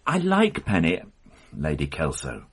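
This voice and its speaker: A weary, self-reproaching police inspector in a radio drama. His voice is very deep and husky, rising and falling as he speaks. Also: wildly swooping pitch, a little slow, heavily acted. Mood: rueful. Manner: weary, rueful.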